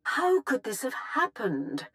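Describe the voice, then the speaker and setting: An actress playing a poised, imperious Sith Empress in a video game, speaking formally and coolly. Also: female voice, sits high, wildly swooping pitch, slow, sharp tone, exaggerated voice. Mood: cool concern. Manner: formal, regal, cool. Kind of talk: dramatic video game voice acting.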